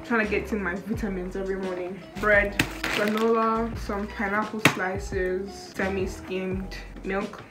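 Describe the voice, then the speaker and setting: A young adult woman talks casually to her vlog camera in an echoey flat, with music playing behind her. She has a mid-pitched voice and rambles informally, like a student catching up with friends. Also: unhurried. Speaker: vlogger, university student. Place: indoor student flat kitchen and rooms. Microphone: camera at arm's length or propped nearby. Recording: echoey room, background music.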